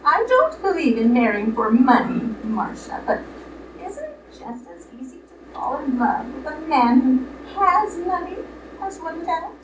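Woman acting a high-voiced coaxing matchmaker, noisy echoey room. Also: sing-song, a little slow. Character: coaxing, proper female relative playing matchmaker. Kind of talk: old-time live radio play acting. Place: live radio play performance in a roomy space. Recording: echoey room, far mics, clear noise.

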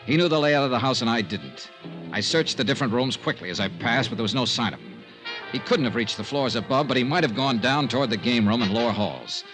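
A shrewd, hard-boiled investigator acted by a man from a vintage radio serial. His mid-pitched delivery is clipped and knowing but swings widely in melody. Music is heard clearly in the old recording. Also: lively intonation, fast, lightly acted, rough recording. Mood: terse narrating. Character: tough, shrewd, wry male insurance investigator, radio detective hero. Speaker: lead actor and narrator. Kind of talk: old time radio detective acting and narration.

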